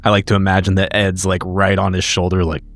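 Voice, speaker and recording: A man's voice: sits low, monotone, fast. A man playing a tabletop horror game, casually improvising narration of what his character does.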